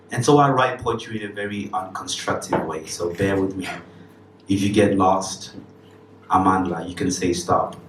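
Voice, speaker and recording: Poet from Cameroon performing on stage. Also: male voice, low voice, background noise. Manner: rhythmic, emphatic delivery with casual asides. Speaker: spoken word poet. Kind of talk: spoken word poetry performance. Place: live stage with audience. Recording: roomy, distant live recording.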